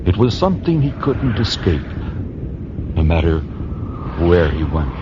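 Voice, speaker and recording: Low, measured male radio narrator. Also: sing-song, noisy background. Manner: measured, formal. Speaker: announcer and narrator. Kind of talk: scripted radio drama narration. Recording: dull recording under music.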